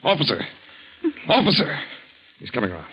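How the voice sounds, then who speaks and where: A man's voice: a little high, wildly swooping pitch, a little fast, fairly bright tone. An actor playing an earnest, take-charge young man with an intense, commanding delivery in an old radio melodrama. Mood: tense, searching. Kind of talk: 1940s radio melodrama acting. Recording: muffled, slightly noisy 1940s radio recording.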